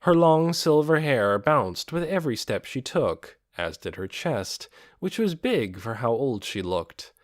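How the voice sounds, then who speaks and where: A man's voice: mid-range voice, sing-song, a little slow, hardly any pauses, no echo, clean studio sound. A man narrating a fantasy audiobook in a scripted, storytelling style.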